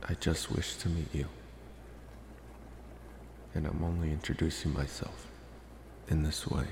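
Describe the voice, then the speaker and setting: Casting: man as infatuated college-age vampire for ASMR roleplay, polite, reassuring, very low with heavy fry. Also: level tone, darkish tone, echoey room, long reverb tail, a little hiss or hum, heavily acted. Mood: calm, reassuring. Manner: slow, reassuring, hesitant with deliberate pauses.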